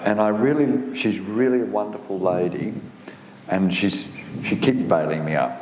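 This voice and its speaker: A man's voice: lowish voice, normal speed, a little mellow. A man, a scientist on a panel, telling an anecdote in a wry way. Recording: echoey hall with noise.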